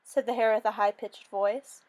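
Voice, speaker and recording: A woman giving a theatrical, expressive dramatic reading of a first-person fairy story, as a storyteller. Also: mid-range voice, normal speed.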